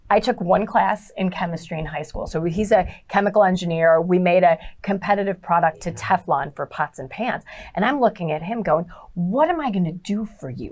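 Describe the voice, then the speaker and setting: Middle-aged female podcast guest, relationship coach, slightly low register, animated anecdotes with acted-out dialogue. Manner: animated storyteller, acts out quoted dialogue. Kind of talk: conversational interview storytelling.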